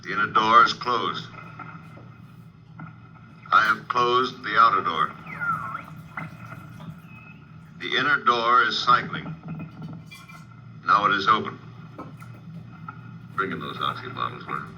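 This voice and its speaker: An actor playing a solemn general with measured military delivery, speaking by radio from a spacecraft in a 1950s drama. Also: male voice, on the low side, expressive. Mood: businesslike. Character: solemn male general reporting from a rescue rocket. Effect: radio transmission from a pressure suit. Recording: muffled, noisy vintage radio transmission.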